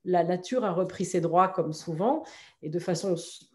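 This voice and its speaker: Congested woman with a cold, mellow. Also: lowish voice, normal speed. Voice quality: nasal, congested. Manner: enthusiastic explaining, with fillers and restarts. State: has a cold. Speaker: film director, guest. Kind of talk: interview answers.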